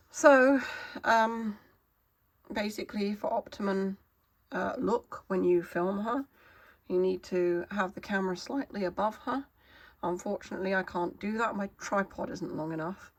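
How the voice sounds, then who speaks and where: A woman with a hoarse, wheezy voice from a cold, explaining a gadget in a casual vlog, halting and apologetic with many fillers. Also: medium pitch. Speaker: vlogger.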